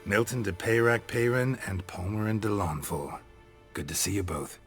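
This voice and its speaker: An actor playing a stoic, world-weary monster hunter in a fantasy game, speaking tersely and deadpan. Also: male voice, lowish voice, moderate pace, faint music behind, close to an everyday voice. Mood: dry, friendly.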